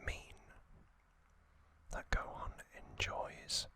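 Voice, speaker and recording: A man narrating in a breathy ASMR whisper, delivered in short, deliberate phrases as a storytelling host. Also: sharp tone.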